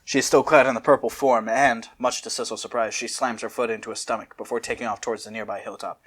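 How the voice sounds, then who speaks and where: A young man with a medium-pitched voice reads fan fiction in a casual style. He breaks from narration into sweary, animated asides. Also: fast talker. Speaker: host and narrator.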